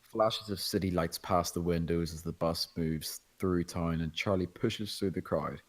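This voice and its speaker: A man's voice: low voice, fairly even intonation, measured pace. A man reading stage directions as narrator at a screenplay table read.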